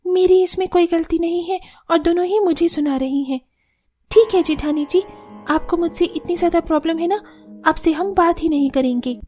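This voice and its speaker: A woman voicing a sharp-tongued young sister-in-law in a cartoon story, quick to argue. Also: extremely high pitch, soft background music. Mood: hurt. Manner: quick, argumentative, sulky. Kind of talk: cartoon voice acting.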